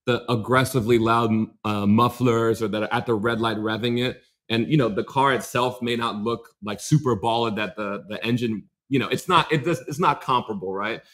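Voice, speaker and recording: A man with an American accent, hosting a podcast and asking relaxed, friendly questions. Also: medium pitch, a little fast, hardly any pauses. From United States. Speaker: podcast host. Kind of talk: casual interview chat.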